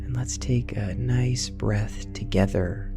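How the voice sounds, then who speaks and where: A man narrating a guided sleep meditation in a soft, soothing, unhurried voice with long pauses. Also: mid-range voice, steady tone. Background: meditation music with singing bowls.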